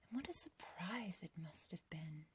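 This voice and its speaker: A woman playing an ancient, beautiful witch in an ASMR roleplay, obsessively loving and menacing, speaking in a breathy whisper with archaic, theatrical phrasing. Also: on the low side, animated, background noise, close to an everyday voice. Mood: sly, teasing. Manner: slow, theatrical, archaic wording, doting yet condescending.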